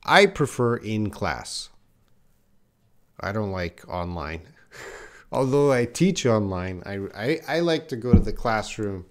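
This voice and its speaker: A man's voice: on the low side, animated, slow pace. A man, an English teacher, chatting on a live-stream class and answering viewers' comments as he reads them. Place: in Korea.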